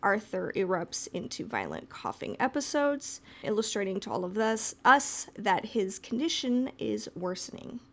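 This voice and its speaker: A woman's voice: medium pitch, very animated, moderate pace, gravelly, hardly any pauses. A woman co-hosting a podcast, talking casually and reading out a written recap. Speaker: podcast co-host.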